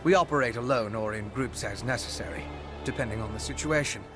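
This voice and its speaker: A man's voice: medium pitch, fairly quick, natural voice. Actor as studious fantasy investigator man over music. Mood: serious, explanatory. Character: earnest, inquisitive male investigator in a fantasy world. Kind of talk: video game character dialogue. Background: game music.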